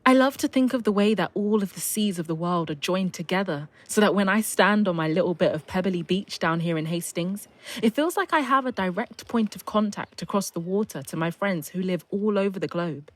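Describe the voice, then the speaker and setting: A woman's voice: medium pitch, animated, brisk, no echo. A middle-aged woman with a southern English accent, sharing personal memories in a thoughtful, hesitant, reflective way. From south coast of England. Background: music.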